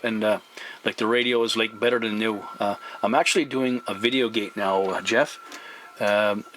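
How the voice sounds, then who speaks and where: Bright-voiced man on CB radio. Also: fairly low pitch, low-quality recording. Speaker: amateur CB radio operator and video host. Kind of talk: casual CB radio exchange.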